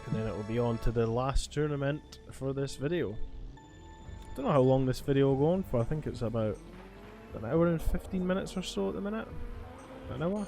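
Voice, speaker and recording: A man's voice: mid-range voice, brisk, warm tone. A man giving rambling, sweary commentary as he plays a video game. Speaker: gaming video host. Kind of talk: casual gameplay commentary. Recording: faint game music behind. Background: video game music.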